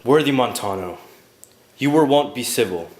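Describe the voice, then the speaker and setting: An actor playing a stern, dignified general, declaiming with authority in classical stage style. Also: male voice, medium pitch, lively intonation, moderate pace, darkish tone, lightly acted. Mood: stern questioning. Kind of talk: classical Shakespearean stage acting. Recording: echoey hall, faint noise.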